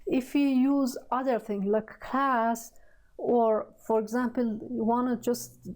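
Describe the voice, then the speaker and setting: Slow, halting woman with Persian accent. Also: a little high, steady tone, darkish tone. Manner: halting, searching for words, many fillers. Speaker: programming tutor. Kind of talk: screen-recorded coding tutorial.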